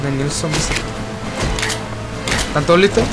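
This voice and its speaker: A man's voice: a little high, unhurried, over loud music. A man with a Chilean accent chatting casually with friends while gaming, slangy and sweary. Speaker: player recording a gameplay video. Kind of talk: casual game chat with friends. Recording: loud game sound behind voice.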